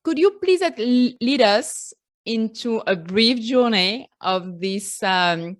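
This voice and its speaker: A woman's voice: fairly high pitch, lively intonation, slow pace, no echo, clean studio sound. A woman hosting a podcast, reading an upbeat scripted introduction and asking interview questions. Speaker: podcast host.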